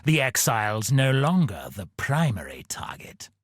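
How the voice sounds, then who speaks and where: An actor playing a pompous, scheming aristocratic villain in a video game, haughty, condescending and melodramatic. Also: male voice, medium pitch, very animated, measured pace, fairly bright tone, no room sound, clean studio sound. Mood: haughty, scornful.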